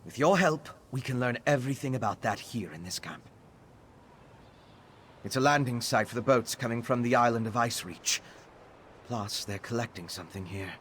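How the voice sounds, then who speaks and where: A man's voice: fairly low pitch, a little hiss or hum, lightly acted. A man playing an earnest, inquisitive scholarly ally in a fantasy video game, laying out quest details.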